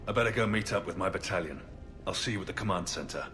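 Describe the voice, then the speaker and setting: Man as a deep, grave military officer. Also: fast, close to an everyday voice. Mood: businesslike. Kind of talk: naturalistic game dialogue. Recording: music behind the voice. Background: game music.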